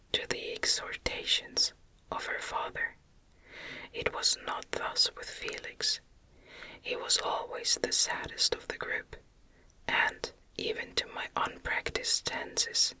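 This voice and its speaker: A woman narrating a literary novel in a gentle, unhurried ASMR whisper. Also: poor audio. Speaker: audiobook narrator.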